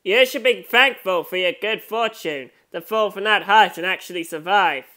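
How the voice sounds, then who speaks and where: A woman's voice: medium pitch, moderate pace, pushed and bright, natural voice. A woman reading the part of a menacing man in a story, gloating and condescending over a victim. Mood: menacing, irritated. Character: menacing adult man, strangler taunting his fallen victim.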